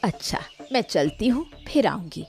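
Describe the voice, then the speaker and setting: A woman voicing a patient older village aunt in an animated moral story, consoling and measured. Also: medium pitch, vocal fry, soft background music.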